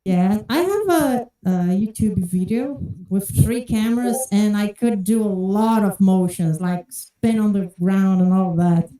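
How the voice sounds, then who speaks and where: A woman from Brazil with a Brazilian Portuguese accent, casually demoing software on a video call, chatty and full of "like" fillers. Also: medium pitch, a little slow, few pauses, no echo, rough recording. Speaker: community tester.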